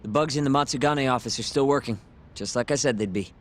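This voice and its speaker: An actor playing a brash, impatient young sidekick in a video game, casual and snippy. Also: male voice, mid-range voice, quick pace, a little hiss or hum, close to an everyday voice. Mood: businesslike. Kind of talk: English video game dub acting.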